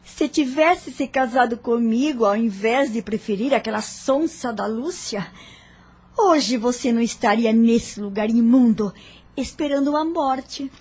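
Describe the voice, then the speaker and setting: A woman's voice: a little high, unhurried, darkish tone, husky. A woman playing a vindictive, sarcastic villainess in an audio drama, taunting with open scorn. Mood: gloating.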